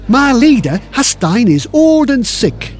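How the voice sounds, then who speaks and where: Man playing a sly Viking henchman, very high voice, singsong, wheedling, exaggerated innocence, lively storybook comedy. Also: takes it slowly, soft background music, heavily acted. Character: sly, wheedling Viking captain, loyal sidekick.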